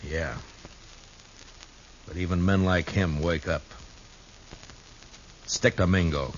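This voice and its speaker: Low voiced grim honest marshal, 50s radio western, blunt, muffled noisy recording. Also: male voice, fairly even intonation, slow pace. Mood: stern. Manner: blunt, grave. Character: stern, troubled, principled frontier marshal. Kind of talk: 1950s western radio drama acting.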